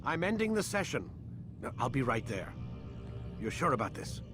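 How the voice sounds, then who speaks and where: A male actor plays a curt, impatient scientist captor in a video game. He gives orders brusquely, his pitch moving widely. Music is loud underneath. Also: big pitch swings, normal speed, close to an everyday voice. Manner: brusque, commanding.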